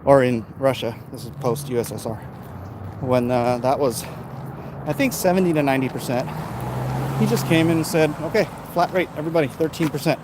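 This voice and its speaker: A man's voice: medium pitch, fairly quick. An American man talking off the cuff in a casual, opinionated, emphatic way, like a vlogger chatting to viewers. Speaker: live-stream vlogger reading and answering viewer comments. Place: outdoors, in his backyard. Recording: noisy outdoor urban ambience, traffic sounds.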